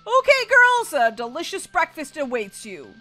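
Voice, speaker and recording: A woman voicing a cheerful, easygoing, goofy dad in an animated, playful family role-play over a video game. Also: high voice, moderate pace, soft background music, full character voice.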